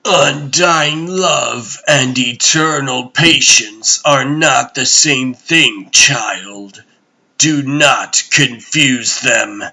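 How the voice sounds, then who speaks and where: A man acts out an imperious god-emperor for a comic dub. His delivery is extremely slow and grave, with a forceful, sharp edge. He commands like a stern, brooding father. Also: medium pitch, heavily acted, low-quality recording. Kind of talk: dramatic comic dub acting.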